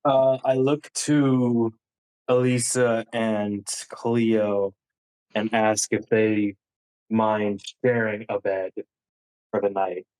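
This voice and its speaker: A man chatting casually as a tabletop game player, halting and often giggly. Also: on the low side, flat delivery, a little mellow, clean tone, rough recording.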